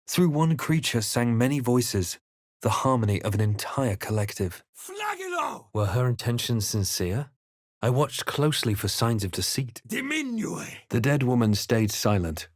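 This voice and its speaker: A man voicing a composed, observant, brooding adventurer in a fantasy video game, with measured, theatrical delivery. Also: medium pitch, huge pitch swings, dry recording, clean studio sound. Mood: forceful incantation. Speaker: video game player-character voice. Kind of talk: dramatic first-person narration and character barks.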